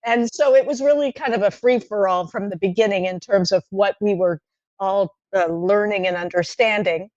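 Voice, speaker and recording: A middle-aged woman, French by first language, talks through her memories in a reflective, halting way, pausing on fillers and starting over. Her voice sits at an everyday pitch for a woman. The sound is dry and a little dull, like a remote podcast recording. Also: darkish tone, no echo. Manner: thoughtful, halting, frequent fillers and restarts, light laughter. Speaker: playwright guest. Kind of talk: podcast interview, reminiscing.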